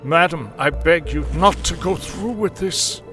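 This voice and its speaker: An actor playing a loyal, principled servant, formal and earnest, in a radio play. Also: male voice, on the high side, unhurried, sharp tone, faint music behind. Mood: pleading. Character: loyal, principled male servant, anxious and deferential.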